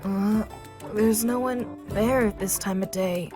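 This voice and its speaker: A woman's voice: mid-range voice, fairly even intonation, slow, over music, natural voice. A woman voicing a brash, grumbling teenage schoolgirl with a tomboyish, sarcastic manner in an anime fan dub. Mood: exasperated. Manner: blunt, sarcastic, complaining. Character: brash, grumbling boy in a teenage girl's body.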